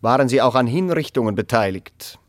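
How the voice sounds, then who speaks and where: A man plays a stern interrogator in a radio play. His mid-pitched voice is recorded very dry, and he puts his questions in curt, formal, insistent bursts. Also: lively intonation, brisk, dry recording, natural voice. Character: stern, probing official interrogating a job applicant. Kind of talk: radio drama dialogue.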